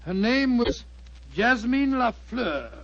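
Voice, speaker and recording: A high-pitched, bumbling elderly British gentleman. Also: lively intonation, slow, exaggerated voice. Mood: genial storytelling. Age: older. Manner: avuncular, hesitant, chuckling. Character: Doctor Watson, elderly genial, bumbling English gentleman storyteller. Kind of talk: 1940s radio acting. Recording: dull old 1940s radio broadcast.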